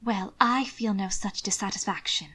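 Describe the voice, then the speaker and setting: A woman voicing a soft-hearted, frank young lady in a period audiobook, earnest and cheerful. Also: medium pitch, normal speed, husky. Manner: bright, earnest, reproving.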